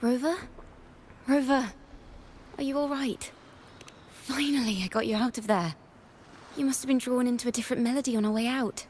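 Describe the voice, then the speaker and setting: A woman's voice: on the high side, fairly even intonation, moderate pace, pauses often, darkish tone, a little hiss or hum, lightly acted. A woman acting a composed, guarded young woman. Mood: concerned. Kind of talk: video game voice acting. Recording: game music behind.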